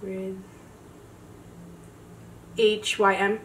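A woman spelling words aloud among friends, laughing and joking as she slowly works through the letters. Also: mid-range voice, flat delivery. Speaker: spelling challenge contestant. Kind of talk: casual chat among friends. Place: small room with a couch. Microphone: handheld mic, far camera. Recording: roomy echo, distant mic, faint noise.

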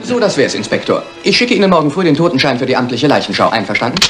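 An actor playing a breezy, hurried, unsentimental young doctor in a German crime radio play. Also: male voice, medium pitch, big pitch swings, a bit bright, soft background music. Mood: cheerfully flippant. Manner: brisk, flippant.

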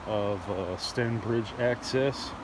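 A man's voice: lowish voice, slow. Chatty man outside by a busy road. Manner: rambling, chatty, with frequent fillers. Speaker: fishing channel host. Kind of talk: casual outdoor walkthrough vlog. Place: outdoors by a creek near a road bridge. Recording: outdoor camera audio with loud road noise. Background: loud outdoor ambience and passing traffic.

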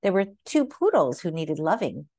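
Female read-aloud narrator for picture books: lowish pitch, very lively intonation, careful storytelling phrasing. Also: normal speed.

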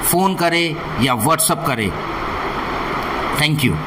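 Man, forties, slightly high pitch, interviewer, noisy room. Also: animated, very slow. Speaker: interviewer and presenter. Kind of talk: interviewing and presenting. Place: small classroom, indoors.

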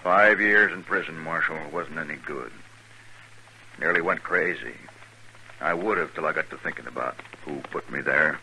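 A vengeful Old West gunman in a radio western. The voice is extremely deep and sharp, drawling with menace, over faint noise on an old recording. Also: moderate pace, exaggerated voice, low-quality recording. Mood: menacing, vengeful. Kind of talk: 1950s radio western acting.

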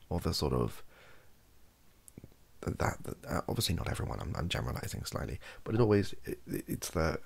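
A young man with a husky, gravelly voice, rambling softly and wryly to camera, often hesitating and correcting himself. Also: low-pitched, big pitch swings, normal speed. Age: young adult. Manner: hesitant, self-correcting, wry. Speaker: ASMR comedy video creator. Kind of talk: improvised soft-spoken rambling to camera. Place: small home bedroom office.

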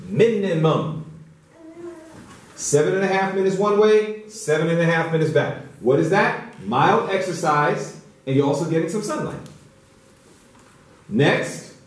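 A man's voice: high voice, darkish tone, recorded from a distance. A middle-aged man giving an emphatic, instructive public health lecture, repeating key phrases and posing rhetorical questions. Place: indoor church hall, speaking to an audience. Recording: very echoey hall, picked up from afar.

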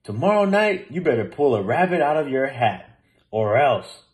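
A man voicing a gruff, bossy male theater manager who barks insistently, in a children's storybook. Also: medium pitch, big pitch swings, normal speed, darkish tone, natural voice. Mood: furious. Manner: barking, insistent.

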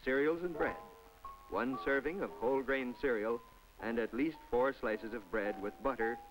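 A man's voice: mid-range voice, moderate pace, poor audio. Folksy 1950s film narrator man. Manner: chatty, folksy asides to the viewer. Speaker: narrator of a public information film. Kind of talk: scripted voice-over narration. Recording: old film soundtrack with faint music.

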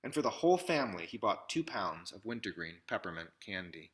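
A man in his thirties with a bright, forward voice, pitched a bit low. He reads a children's picture book aloud, setting out short phrases one by one with pauses between them. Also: big pitch swings, slight background noise. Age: 30s. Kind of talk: read-aloud storybook narration. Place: small indoor room with classroom wall displays.

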